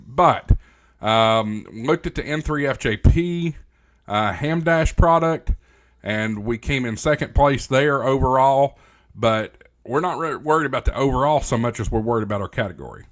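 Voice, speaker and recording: Man hosting a hobby video channel. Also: medium pitch. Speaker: host of a ham radio channel. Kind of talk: casual presenter-style video update.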